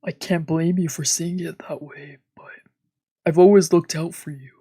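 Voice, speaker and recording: A woman voicing a stern, guarded male doctor from a visual novel, husky and breathy. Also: deep voice, fairly even intonation, normal speed, mellow, no room sound, clean studio sound. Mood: earnest. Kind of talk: reading visual novel dialogue aloud.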